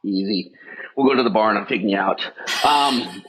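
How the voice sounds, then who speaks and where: A man's voice: lowish voice, a bit bright, husky. A middle-aged man, a guest on a podcast, talking in long, rambling run-on stretches in casual conversation. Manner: rapid, rambling run-on talk. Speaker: guest, firefighter rehab specialist. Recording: dull lo-fi audio.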